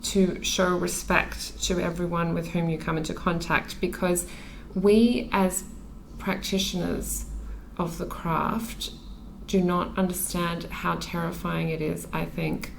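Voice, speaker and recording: A woman from Australia speaks as a podcast guest in a lowish voice with plenty of vocal fry. She talks thoughtfully and earnestly, often pausing on um and restarting her sentences. A faint hiss of noise sits behind her. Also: flat delivery, poor audio. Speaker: interview guest, magazine editor. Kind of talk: relaxed conversational interview.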